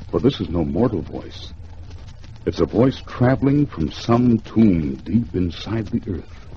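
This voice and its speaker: American guy telling a suspense story, old radio style, lowish animated voice, dull recording w some noise. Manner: theatrical, suspenseful, measured phrasing. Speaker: radio drama narrator. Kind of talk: dramatic 1950s radio storytelling.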